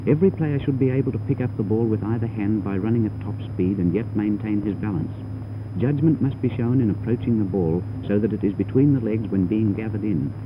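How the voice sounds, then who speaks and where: Low-voiced man on a muffled old film soundtrack. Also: noisy background. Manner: formal, didactic. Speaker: instructional film narrator. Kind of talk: 1930s scripted instructional film narration.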